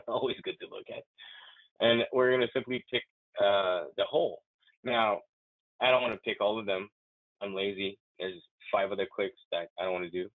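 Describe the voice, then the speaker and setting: An eager man talks through a software demo in a low voice, excited about what he shows and often stumbling into restarts. The sound is thin and phone-like, with a dry, close feel. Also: normal speed, no echo. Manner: enthusiastic, chatty, many restarts. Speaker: software demonstrator. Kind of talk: live software demo walkthrough. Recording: tinny phone-like, dry audio.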